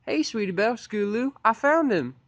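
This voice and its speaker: A man's voice: high-pitched, expressive, brisk, a bit bright, no room sound, pitched above the performer's own voice. A man voicing an eager, earnest young female pony with folksy country phrasing in an audio fan-fiction reading. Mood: excited.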